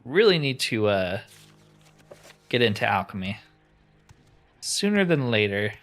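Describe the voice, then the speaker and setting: A man's voice: lowish voice, sing-song, a little slow, a bit bright. Casual man doing game commentary over music. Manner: thinks aloud, chatty, informal. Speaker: gaming channel host. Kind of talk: casual let's play commentary.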